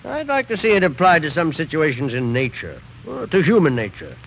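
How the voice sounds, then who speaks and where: A hesitant, mild friend. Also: male voice, on the high side, big pitch swings, fairly quick, a bit bright, slight background noise. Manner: hesitant, placating. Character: mild, hesitant male friend and chess partner. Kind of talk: 1940s radio acting. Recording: muffled 1940s radio with music.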